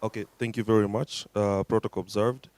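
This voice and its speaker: A man's voice: lowish voice, steady tone, fairly quick, a little mellow, no room sound. A man with a Tanzanian accent making earnest, persuasive remarks as a delegate at a conference. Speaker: audience delegate.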